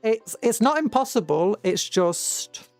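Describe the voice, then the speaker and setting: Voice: mid-range voice, sing-song, takes it slowly. A British VTuber streamer chatting casually to viewers in a rambling, musing way, often stopping to correct themselves. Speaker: VTuber livestreamer. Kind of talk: casual livestream chat with viewers. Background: background music.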